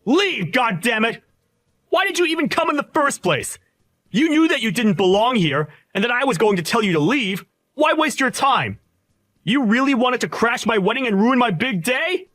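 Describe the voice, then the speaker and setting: A man voicing an arrogant, contemptuous young male boss who yells insults in a shrill, sneering voice, recorded dry and close for a voiced story. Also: huge pitch swings, moderate pace, dry recording, exaggerated voice. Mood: gloating rage. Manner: yelling insults.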